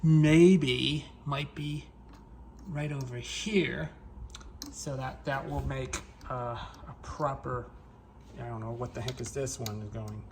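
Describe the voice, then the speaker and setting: A man with a mid-range voice narrates his own hobby repair as he goes. His delivery is casual and halting, often pausing to work things out aloud. It is a homemade recording with clear noise behind the voice. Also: mid-range voice, fairly even intonation, a little mellow. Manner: halting, hesitant, thinking aloud. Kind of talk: casual unscripted how-to narration while working.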